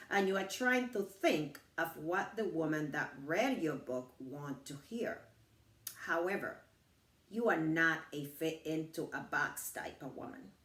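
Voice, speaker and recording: A middle-aged woman reading her own personal, inspirational writing aloud in measured phrases. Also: sits low, a bit bright. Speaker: author reading her book. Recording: roomy echo, distant from the mic.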